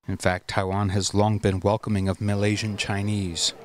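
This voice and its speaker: A man reading a scripted news voice-over in a measured, even way. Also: on the low side. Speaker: news narrator.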